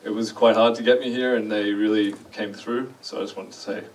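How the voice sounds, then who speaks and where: A man's voice: lowish voice, fairly even intonation, brisk, far from the mic, low-quality recording. Australian man giving a casual conference talk. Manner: chatty, self-deprecating, asks the audience questions. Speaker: conference speaker. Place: large dark lecture hall.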